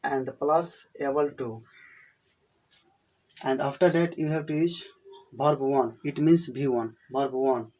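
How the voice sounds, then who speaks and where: A man's voice: mid-range voice, slow, long pauses, a little mellow, poor audio. A man from India with an Indian accent and Hindi as his first language, teaching an English grammar lesson at a whiteboard and repeating his points plainly. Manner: repetitive, plain explanations.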